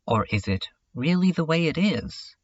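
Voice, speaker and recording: A man playing a brooding, self-critical dreamer in a contemplative, halting monologue for a webcomic fan dub. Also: fairly high pitch, big pitch swings, dull audio. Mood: pensive, wondering.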